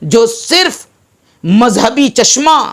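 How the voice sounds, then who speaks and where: High-pitched, slow, emphatic Indian preacher. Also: male voice, big pitch swings, a bit bright. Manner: emphatic, oratorical, with deliberate pauses. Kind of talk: sermon.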